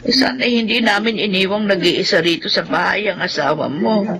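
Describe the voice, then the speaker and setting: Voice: mid-range voice, brisk, crowd noise, close to an everyday voice. An actor playing a worried, sorrowful older parent in a radio drama, speaking with pleading emotion. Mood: regretful.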